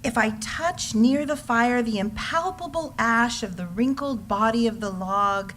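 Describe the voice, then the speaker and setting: A woman's voice: a little high, slight background noise. A woman giving a dramatic, lingering recitation of poetry as a reader in readers theater. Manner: slow, expressive, lingering phrasing.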